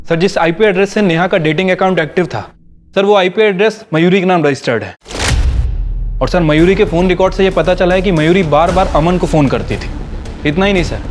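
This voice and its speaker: A respectful junior policeman reporting his findings to a superior, played by an actor. His voice is slightly high, and dramatic music plays steadily underneath. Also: fairly quick, close to an everyday voice.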